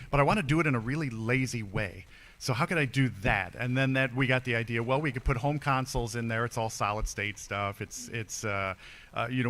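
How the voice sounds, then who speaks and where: A man's voice: mid-range voice, fairly bright tone. A man on a convention panel telling, with many fillers and restarts, how his arcade business began, speaking into a handheld microphone. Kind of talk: casual panel storytelling.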